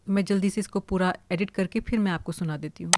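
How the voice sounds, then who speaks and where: An Indian woman giving an informal, explanatory software tutorial, mixing English words into her speech. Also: fairly low pitch, quick pace. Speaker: voice-over artist giving a tutorial. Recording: clearly hissy home recording.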